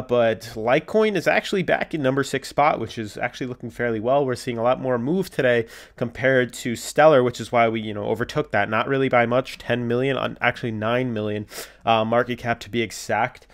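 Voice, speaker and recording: A man's voice: medium pitch, fairly quick. Congested man hosting crypto chat. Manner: filler-heavy, loose, reads articles aloud. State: congested from a cold. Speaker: crypto video host. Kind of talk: casual market commentary to camera.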